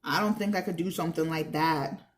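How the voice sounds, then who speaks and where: A woman's voice: low voice, a little fast, a little hiss or hum, voice pushed down, natural voice. A bookish young boy from a storybook, read aloud by a woman. He is competitive and talks like a know-it-all. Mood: polite.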